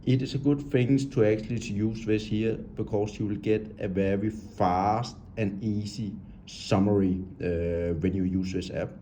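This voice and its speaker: A man giving an unscripted walkthrough of an app, halting and talkative, pointing out items on the screen in English with non-native grammar. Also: lowish voice, fairly even intonation, warm tone, slight background noise. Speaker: app tutorial presenter.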